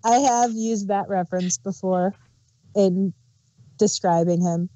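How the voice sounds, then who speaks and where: A woman's voice: medium pitch, warm tone, muffled recording. A woman co-hosting a review podcast, chatting casually and laughing often. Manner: unhurried, amused, laughs often. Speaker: podcast co-host reviewing an audio drama.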